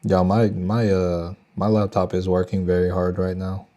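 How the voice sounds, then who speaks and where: A man's voice: deep voice, flat delivery, normal speed, warm tone. A young man with a Texan accent, casually thinking aloud and chatting with viewers on a trading livestream. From Texas. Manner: thinks aloud, loose slangy talk to chat. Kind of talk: casual live market commentary.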